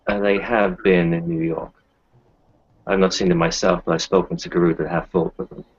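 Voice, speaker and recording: A man acts out a defensive doctor set on arming himself. The voice is very low and rough-edged, talking in character in an improvised game, over dull lo-fi audio. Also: flat delivery, normal speed. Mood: defensive, determined. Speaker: player in an online roleplaying session. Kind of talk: in-character improvised roleplay talk.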